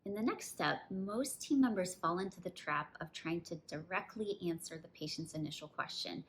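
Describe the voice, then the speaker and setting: Lower-voiced woman presenting training, some background noise. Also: animated, normal speed. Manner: clear step-by-step explaining, with acted example phrases. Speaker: dentist and practice coach presenting training. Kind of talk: instructional presentation to camera.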